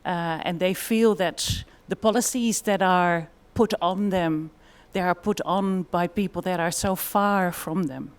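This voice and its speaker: A woman's voice: fairly low pitch, measured pace, few pauses. A woman with a Dutch accent giving a conference presentation in a thoughtful way, with frequent fillers. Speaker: conference speaker.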